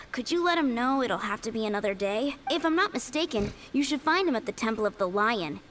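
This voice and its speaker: High-pitched eager young trainee knight. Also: female voice, expressive, a little fast, fairly bright tone, a little hiss or hum. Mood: tired, friendly. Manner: enthusiastic, earnest. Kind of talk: anime-style video game voice acting.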